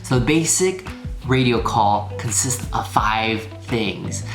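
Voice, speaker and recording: A man's voice: lowish voice, lively intonation, slow, gravelly. Guy doing a casual how-to video, informal, repeats himself to make points, echoey room, music in the back. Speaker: flying instructor, video host. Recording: roomy echo, music behind. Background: background music.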